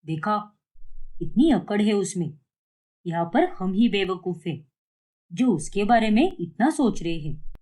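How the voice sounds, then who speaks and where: A woman's voice: medium pitch, sing-song, unhurried, long pauses, mellow. A woman voicing a jealous, exasperated daughter in a cartoon story, in a complaining manner. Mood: resentful.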